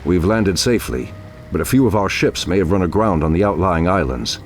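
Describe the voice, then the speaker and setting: An actor playing a stern, noble night elf druid leader in a video game, grave and commanding in formal speech. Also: male voice, sits low, lively intonation, fairly quick, slight background noise. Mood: resolute. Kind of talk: video game cutscene acting.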